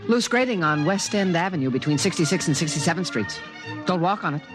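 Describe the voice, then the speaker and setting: A man's voice: on the high side, lively intonation, quick pace, close to an everyday voice. A man playing an earnest, fussy alien guardian in a 1950s radio drama, speaking in precise, formal, solemn pronouncements. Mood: urgent warning. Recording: vintage radio recording, faint music.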